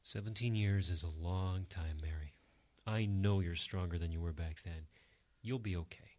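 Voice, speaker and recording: An actor playing a gentle, encouraging bartender in a radio drama, speaking earnestly. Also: male voice, low voice, moderate pace, lightly acted. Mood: gentle, supportive. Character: kindly, encouraging bartender and good listener.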